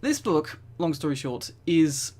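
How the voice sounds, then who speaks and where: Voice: medium pitch, very animated, unhurried, a little mellow. A streamer who reads aloud in an amused, emphatic way with casual asides, prone to breaking into giggles. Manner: giggly, often breaking into laughter while reading.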